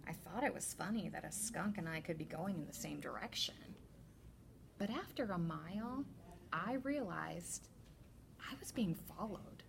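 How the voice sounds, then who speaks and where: Woman reading to children, slightly gravelly voice. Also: lowish voice, noisy background. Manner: deliberate, expressive storytelling to children. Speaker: teacher librarian. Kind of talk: children's picture book read-aloud.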